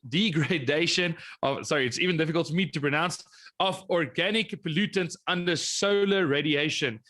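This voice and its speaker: A man's voice: sits high, sharp tone. A man hosting a research talk competition, thanking and introducing presenters in an upbeat way. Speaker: competition host.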